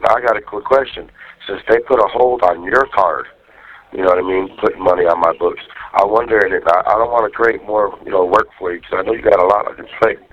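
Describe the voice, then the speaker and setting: Deep-voiced man on a muffled jail phone. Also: steady tone, quick pace. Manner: slangy, profane, cajoling. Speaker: jail inmate making a phone call. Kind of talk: casual phone conversation. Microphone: telephone. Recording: dull lo-fi recorded jail phone line.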